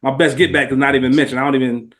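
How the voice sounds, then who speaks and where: A man's voice: mid-range voice, steady tone, very fast, fairly bright tone. A man speaking as a podcast guest, casual and heartfelt, with earnest, slangy phrasing that often leans on "man.".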